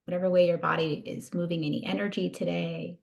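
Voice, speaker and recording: A woman leading a guided body scan meditation in a soothing, gentle, unhurried manner. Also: lowish voice, flat delivery, gravelly.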